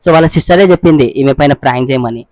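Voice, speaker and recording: A man's voice: medium pitch, rapid-fire, darkish tone, no echo. A young man chatting casually as a prank caller and video host, mixing English words into his speech. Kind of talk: casual prank phone chat.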